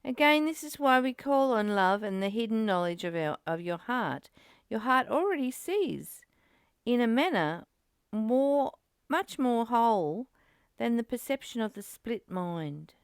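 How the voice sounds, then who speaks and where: Earnest woman with a creaky, dry-sounding voice. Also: a little high, sing-song, measured pace, no echo. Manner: earnest, repeats phrases as she thinks aloud. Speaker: study group host reading and commenting. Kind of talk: reflective spiritual discussion, partly read aloud.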